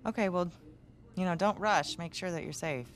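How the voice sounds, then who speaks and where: An actress playing a caring, worried American wife in a video game, gentle and conversational. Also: female voice, lowish voice, normal speed, slight background noise, lightly acted. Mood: concerned, tender.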